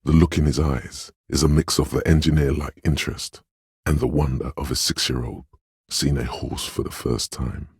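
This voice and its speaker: A man voicing a game's inner voice of empathy, a gentle, perceptive, sorrowful narrator who speaks in a measured, solemn, intimate way. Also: rumbling low, flat delivery, breathy edge, no echo, studio quality, heavily acted. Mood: tender, perceptive. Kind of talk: scripted second-person game narration.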